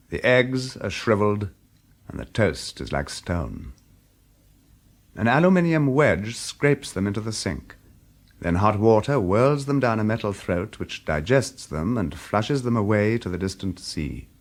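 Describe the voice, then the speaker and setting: A man narrating a scripted audio drama in a measured, hushed storytelling manner. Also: on the low side. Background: music throughout.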